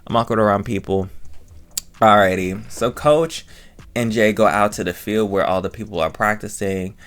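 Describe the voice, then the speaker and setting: A man's voice: fairly low pitch, normal speed, a bit bright. A man giving a casual, chatty review commentary as host, rambling and repeating himself in slangy talk. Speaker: reviewer of an audio drama and channel host.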